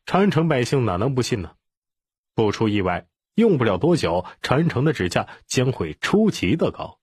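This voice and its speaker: A man narrating an audiobook in an expressive storytelling style. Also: mid-range voice, normal speed, slightly rough, no echo, studio quality.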